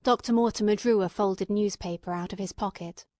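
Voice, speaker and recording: A woman's voice: mid-range voice, steady tone, brisk, dark tone, no echo. Woman narrating, dry close sound. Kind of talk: scripted literary narration.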